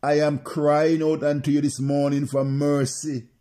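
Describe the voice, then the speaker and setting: A man's voice: a little high, level tone, measured pace, mellow. A male preacher praying and preaching to remote listeners, repeating his phrases in an insistent, chant-like cadence.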